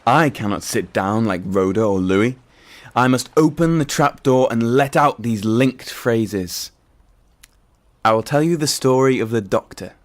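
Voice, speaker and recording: A man's voice: medium pitch, lively intonation, unhurried, lightly acted. A man playing an imaginative, wordy schoolboy storyteller, eager and rhapsodic, in a poetic audio drama.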